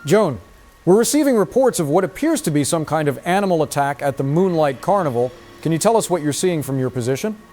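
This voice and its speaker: Male actor as composed TV news anchor, slightly high register, formal broadcast read. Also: animated, darkish tone, slight background noise, close to an everyday voice. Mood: serious, urgent. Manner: measured, formal news delivery.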